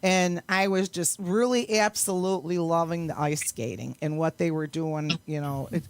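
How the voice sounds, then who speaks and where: A woman's voice: lowish voice, moderate pace. A middle-aged woman chatting casually as an interview guest, rambling along in an enthusiastic way. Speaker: guest, amateur actress and singer.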